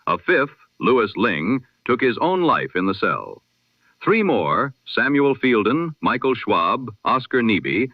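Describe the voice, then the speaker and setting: A man reading a history broadcast in the style of an old-time radio newsreader, measured, solemn and formal. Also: medium pitch, no echo, muffled recording.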